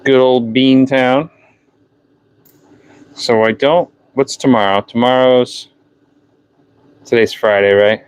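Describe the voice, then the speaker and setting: A man's voice: mid-range voice, fairly even intonation, takes it slowly, pauses often, clean tone. A man in his thirties from New Hampshire with a light New Hampshire accent, chatting casually and rambling on a livestream while packing orders. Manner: rambling, chatty, self-correcting. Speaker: livestream host.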